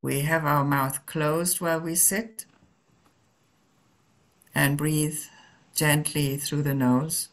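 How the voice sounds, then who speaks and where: Slow, low-voiced woman guiding meditation. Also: fairly even intonation. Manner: gentle, permissive, halting with long pauses. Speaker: Zen teacher leading a guided meditation. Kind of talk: guided meditation instruction.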